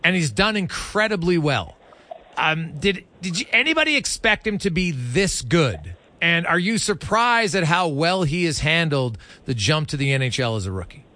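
A man's voice: a little high, very animated, moderate pace, pushed and bright. A man hosting a sports radio interview, conversational and opinionated, putting his views into his questions.

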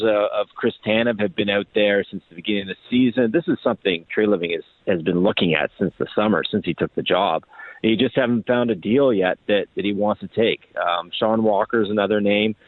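A man's voice: fairly low pitch, dry recording. A man giving conversational hockey analysis as a guest reporter, heard over a thin phone line.

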